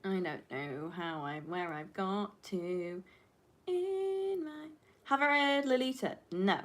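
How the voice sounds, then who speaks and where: A woman's voice: medium pitch, lively intonation, slow pace, a bit bright. A woman in her twenties, a native Flemish Dutch speaker, chatting casually to livestream viewers in a rambling, candid, sweary and emphatic way. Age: 20s. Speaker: livestreamer and film student. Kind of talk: casual livestream chat answering viewer questions.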